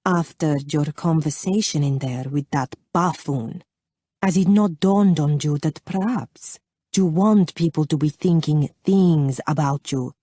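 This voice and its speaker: A woman playing a dashing, self-assured foreign baron with a foreign accent and a careful, deliberate, teasing delivery. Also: deep voice, warm tone, breathy edge, no room sound. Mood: teasing, probing.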